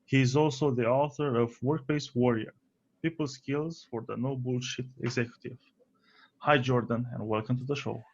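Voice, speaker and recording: A man's voice: medium pitch, fairly even intonation, moderate pace. Man hosting a podcast interview. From Romania. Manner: friendly, laughs often, fillers. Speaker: podcast host. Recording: slightly dull lo-fi audio.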